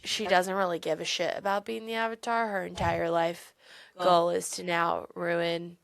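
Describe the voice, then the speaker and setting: A woman co-hosting a podcast in casual book chat, retelling scenes in an animated way and swearing freely. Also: lowish voice, moderate pace, gravelly, no room sound. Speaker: podcast co-host.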